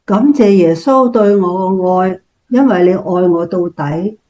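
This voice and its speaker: A woman with a Cantonese accent playing a gentle, devout older family member, speaking earnestly and with measure in a scripted radio drama. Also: fairly low pitch, a little slow, muffled recording, close to an everyday voice.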